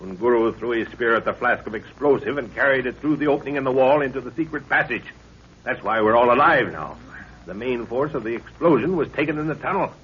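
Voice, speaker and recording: An actor playing an authoritative middle-aged professor and adventurer in a 1930s radio drama, measured and commanding. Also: male voice, mid-range voice, expressive, fairly bright tone, close to an everyday voice. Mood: relieved, calm. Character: steady, authoritative male professor and adventurer, a father. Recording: muffled, hissy 1930s radio recording.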